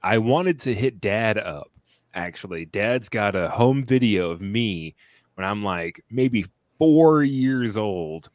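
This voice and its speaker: A man hosts a casual film podcast in an unhurried, drawn-out way. His voice sits low and he rambles, laughing often. The sound is close and dry, with no room around it. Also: lively intonation, no echo. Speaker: podcast host.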